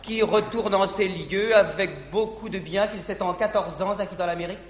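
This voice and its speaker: An actor playing an earnest, lovestruck young gallant in a 1950s verse stage comedy. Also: male voice, high-pitched, moderate pace, lightly acted. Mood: eager. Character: young lovestruck gallant man, eager and open. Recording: old theater recording, echoey hall, faint hiss.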